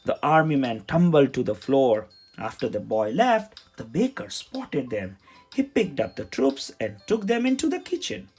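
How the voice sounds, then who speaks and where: A man's voice: medium pitch, big pitch swings, moderate pace, a little mellow, breathy edge. A man narrating a children's storybook as a read-aloud. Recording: music behind the voice.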